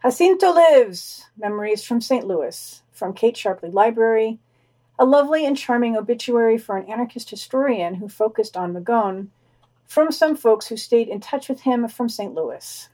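A woman's voice: a little high, animated, normal speed, warm tone, rough recording. A woman co-hosting a podcast, reading short scripted news items with brief casual comments.

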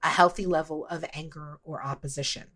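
A woman's voice: low-pitched, fairly even intonation, brisk, fairly bright tone. A woman in her late forties talking casually but emphatically as a co-host in a podcast discussion. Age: late 40s. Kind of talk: casual conversation on politics.

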